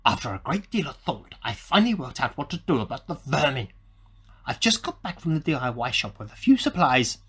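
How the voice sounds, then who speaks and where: A man's voice: medium pitch, very animated, a little fast, bright, forceful tone, slight background noise. A man playing an agitated, paranoid amateur scientist in a radio play diary, with melodramatic delivery. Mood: grimly scheming. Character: paranoid male amateur scientist at war with hamsters.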